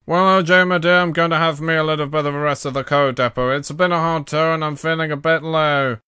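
Clear, bright-voiced man doing character voices. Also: fairly high pitch, fairly even intonation, a little fast, no room sound. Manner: theatrical, putting on cartoon tugboat characters. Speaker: amateur voice impressionist. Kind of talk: character voice acting showcase.

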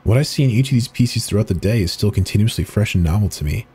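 A man with a very husky, breathy voice reads in a slow, deliberate, ominous way. His pitch sits low but swings widely as he leans into each line, like a dramatic horror reading. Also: expressive, warm tone. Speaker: channel host reading horror texts.

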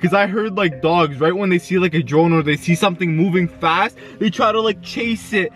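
A man's voice: high-pitched, normal speed, fairly bright tone. Hyped young man vlogging from his car. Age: 20s. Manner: hyped, exclamatory, lots of yo and oh my god. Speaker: YouTuber. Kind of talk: excited vlog chat. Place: parked car, indoors. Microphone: camera facing him in car.

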